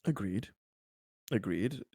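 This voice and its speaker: Male tabletop player, lowish register, improvising in character; halting, courteous, formal delivery on a dry recording. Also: animated, warm tone, slightly rough, no echo. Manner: hesitant, halting, polite and formal. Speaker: tabletop roleplaying game player.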